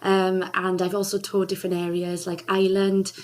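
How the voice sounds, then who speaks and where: A woman's voice: medium pitch, monotone, normal speed, a little mellow. A young woman from Wales with a Welsh accent, an earnest singer answering interview questions with enthusiasm. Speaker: singer, charity ambassador. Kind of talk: interview guest.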